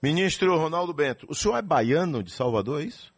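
A man's voice: fairly high pitch, very animated, fairly quick, slightly rough. A man from Bahia, hosting a radio interview in a friendly announcer style. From Bahia, Brazil. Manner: friendly, introduces guest in announcer phrasing. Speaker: radio host.

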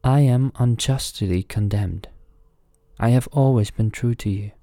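A man's voice: medium pitch, unhurried, mellow, no echo, clean studio sound, voice pushed up, lightly acted. A man voicing a loyal, condemned male servant in a fairy tale, speaking with dignity and earnest conviction.

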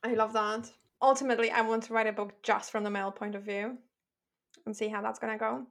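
A woman hosting a podcast and asking a guest questions in a relaxed, friendly way. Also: a little high, fairly quick, creaky. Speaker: podcast host. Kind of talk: casual interview.

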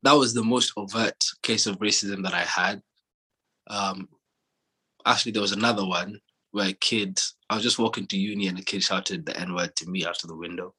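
Young man, lower-pitched and husky, loose conversational storytelling. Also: moderate pace, rough recording. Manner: relaxed storytelling, frequent fillers and restarts. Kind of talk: casual chat.

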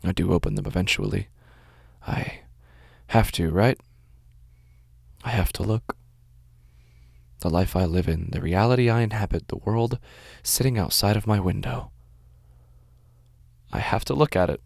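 Haunted man, audio drama monologue, gravelly, breathy, anguished, slow halting phrases, intense. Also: low voice, no echo, clean studio sound, exaggerated voice. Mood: weary, brooding. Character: haunted, anguished man narrating his horrifying visions. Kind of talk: first-person audio drama monologue, scripted narration. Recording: music bed behind the voice. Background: music.

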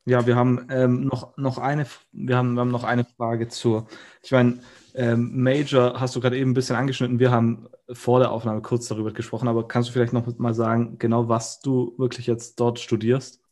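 Flat-toned male co-host. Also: mid-range voice. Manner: measured, repeats words when starting a sentence. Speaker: podcast co-host. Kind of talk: casual interview chat.

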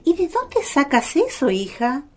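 High, lilting kindly mother. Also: wildly swooping pitch, unhurried, exaggerated voice. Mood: mild, questioning. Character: kindly, gently intrigued mother of the family. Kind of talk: radio drama acting.

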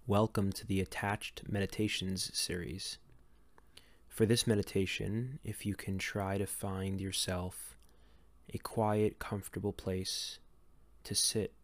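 A man leading a guided meditation in a gentle, calm, unhurried way with long pauses between phrases. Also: low voice, dry recording. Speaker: meditation guide and series host.

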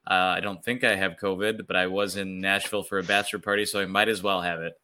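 Male host, slightly hoarse, bright voice, casual sports chat. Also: sits low, level tone, fast. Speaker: podcast host.

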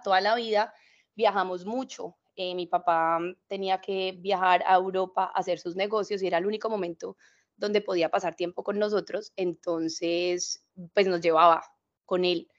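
A woman's voice: medium pitch, steady tone, normal speed, lo-fi sound. Chatty Colombian woman with mixed accent. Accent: Colombian, mix of Cali and Paisa. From Cali, Colombia. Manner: chatty and reflective, with fillers. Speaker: podcast guest. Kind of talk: casual interview chat.

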